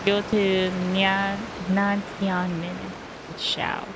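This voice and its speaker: A woman's voice: medium pitch, monotone, very slow. A woman giving casual gameplay commentary, thinking aloud with some hesitation. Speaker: game streamer. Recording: clearly noisy background.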